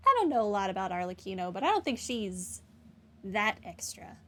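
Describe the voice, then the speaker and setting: A woman's voice: medium pitch, very animated, moderate pace, pushed and bright. A young woman giving casual commentary as she plays a game. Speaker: gaming video host.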